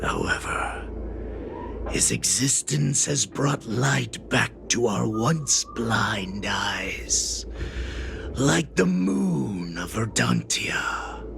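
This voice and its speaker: An actor voicing a proud, defiant wolf-beast warrior in a video game, with a gravelly, breathy growl and a solemn manner. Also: male voice, mid-range voice, animated, noisy background, exaggerated voice. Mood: solemn devotion. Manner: slow, solemn, menacing. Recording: music behind the voice. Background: game music.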